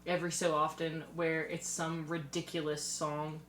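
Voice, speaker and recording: A woman's voice: sits low, little pitch movement, moderate pace, a bit bright. A young adult woman chatting casually, recorded roomy and away from the mic with some noise. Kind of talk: casual chat.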